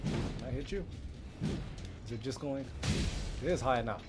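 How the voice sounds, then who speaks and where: A man's voice: mid-range voice, expressive, normal speed. A man livestreaming a video game with casual, chatty, offhand commentary and some mild swearing. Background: video game music and sound.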